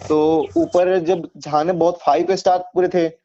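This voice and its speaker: A man's voice: fairly high pitch, flat delivery, normal speed, mellow. An Indian man on a phone call, informally recounting his own experience, insistent and often repeating his words. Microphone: phone line. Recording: muffled phone call with faint noise.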